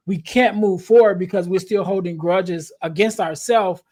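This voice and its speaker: High-voiced man in his forties hosting. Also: normal speed, a little mellow. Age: 40s. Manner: rambling, heavy on "you know". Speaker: podcast host. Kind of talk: casual interview chat.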